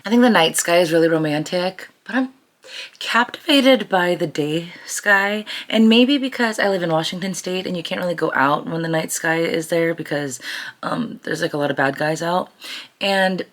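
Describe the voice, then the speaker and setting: An American woman chatting casually as a vlogger, upbeat and effusive, her voice raspy from singing. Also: on the low side.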